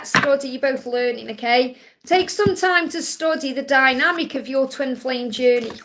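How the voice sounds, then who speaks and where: A middle-aged woman gives a live spiritual reading in a chatty, reassuring way, often checking in with "okay?" Her voice sits high and carries a gravelly fry, and it is turning croaky. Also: a bit bright. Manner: chatty, reassuring, peppered with "okay?" and "you know". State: voice getting croaky. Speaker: psychic reader hosting a live Q&A.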